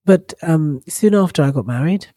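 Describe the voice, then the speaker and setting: A woman hosting her own podcast while congested from a cold, talking in a reflective, halting way with frequent ums and restarts. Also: sits low, lively intonation, mellow, no room sound, clean studio sound. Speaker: podcast host. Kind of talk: casual solo podcast talk.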